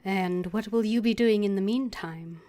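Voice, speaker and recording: A woman's voice: medium pitch, normal speed, mellow. A woman gaming streamer commenting live, reading dialogue aloud and musing as she plays.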